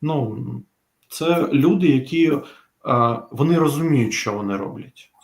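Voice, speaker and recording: A man speaking in a serious unscripted discussion, halting and deliberate, pausing as he searches for words. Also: a little high, expressive, a little mellow.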